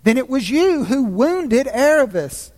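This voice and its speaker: A worn-out orphan boy speaks up high and plaintive, full of anxious questions, as a man reading aloud puts him on. The reading rings through a big, reverberant hall. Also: sing-song, measured pace, darkish tone, exaggerated voice. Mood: bewildered. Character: weary, upset orphan boy, pleading.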